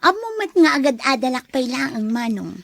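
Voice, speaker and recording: Woman acting a high-voiced coaxing student girlfriend. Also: very animated, normal speed, vocal fry, fairly bright tone, no echo, exaggerated voice. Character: young female student, coaxing, money-minded, secretive girlfriend. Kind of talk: radio drama acting.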